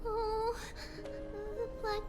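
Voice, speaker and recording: Game role: innocent small girl, tiny high pitch, unhurried, earnest, music behind. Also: completely flat delivery, heavily acted. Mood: earnest worry. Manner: earnest, childlike, simple wide-eyed storytelling. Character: innocent, chatty little girl, young priestess-to-be. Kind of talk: voiced game cutscene dialogue. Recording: game audio under clear music.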